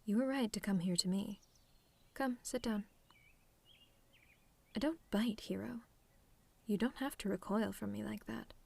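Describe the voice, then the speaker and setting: Woman doing a telepath villainess for asmr roleplay, snarky and menacing w a soft side, draws words out, theatrical, mid pitch. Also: creaky. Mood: gentle, coaxing. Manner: sardonic, theatrical, drawn-out deliberate phrasing. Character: mocking telepathic villainess, menacing but softening to tender. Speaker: audio roleplay performer.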